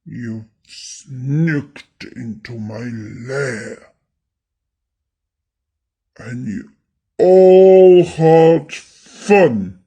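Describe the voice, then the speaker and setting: A woman voicing a big, grumpy, wounded brown bear in a storybook, complaining in a gruff, drawn-out growl. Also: very deep voice, voice pushed down, exaggerated voice. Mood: indignant. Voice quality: gravelly, husky growl. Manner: slow, drawn-out, loud complaining. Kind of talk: picture-book read-aloud character voice.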